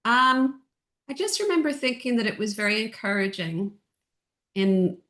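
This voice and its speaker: A middle-aged woman from Ireland with a softened Irish accent, chatting as an interview guest in a gentle, reflective way. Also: fairly high pitch, expressive, moderate pace. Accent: Irish, softened by years in Texas. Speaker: guest, author and audiobook narrator. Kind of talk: casual interview chat.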